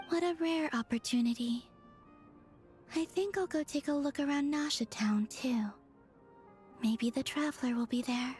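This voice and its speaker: Soft, formal moon goddess, voiced by an actress, music behind. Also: female voice, a little high, natural voice. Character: solemn female moon goddess quietly watching mortals with wonder. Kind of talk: video game voice acting. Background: game music.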